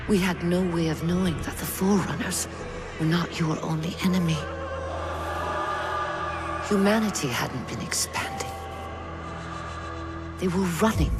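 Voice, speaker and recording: Wise old alien spirit lady for a game, grave n breathy, big music underneath. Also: low voice. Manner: slow, grave, measured storytelling. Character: ancient female alien spirit, solemn, wise guide. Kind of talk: video game cutscene acting.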